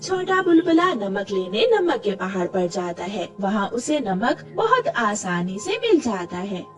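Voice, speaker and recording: A woman's voice: medium pitch, big pitch swings, a little slow. A woman narrating a scripted children's story as the storyteller. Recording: distant mic with music behind.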